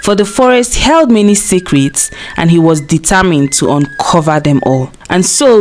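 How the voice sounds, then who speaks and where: A woman's voice: deep voice, darkish tone, a little hiss or hum, low-quality recording. A woman storyteller narrating a scripted folktale in a dramatic storybook delivery.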